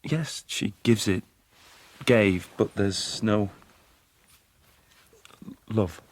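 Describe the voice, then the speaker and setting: Low-voiced, anxious, guarded English man. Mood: halting, subdued. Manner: halting, guarded, terse. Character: wary, anxious, melancholy party man in dystopia. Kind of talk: naturalistic radio drama acting.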